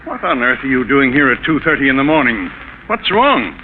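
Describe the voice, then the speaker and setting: A man's voice: mid-range voice, sing-song, brisk, lightly acted. An actor playing a concerned male friend, placating and insistent, in a 1940s radio drama. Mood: startled. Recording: narrow, noisy 1940s radio broadcast.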